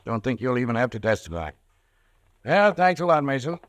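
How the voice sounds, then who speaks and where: A man's voice: mid-range voice, sing-song, brisk, dry recording, studio quality, natural voice. A man playing a courteous police inspector. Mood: polite. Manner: courteous, matter-of-fact. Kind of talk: 1940s radio acting.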